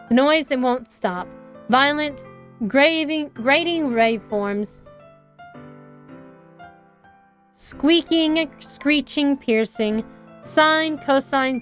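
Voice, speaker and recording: A poised, confident schoolgirl club president from a visual novel, read aloud by a woman. Her high voice is smooth and persuasive, friendly on the surface, over faint game music. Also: animated, slow pace, fairly bright tone, exaggerated voice. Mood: eerie. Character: confident, composed teenage club president girl.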